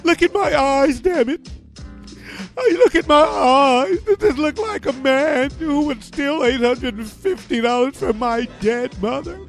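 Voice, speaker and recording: A man putting on the voice of a melodramatic man who tearfully pleads his innocence, high and wailing. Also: lively intonation, measured pace, faint music behind, heavily acted. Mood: mock tearful.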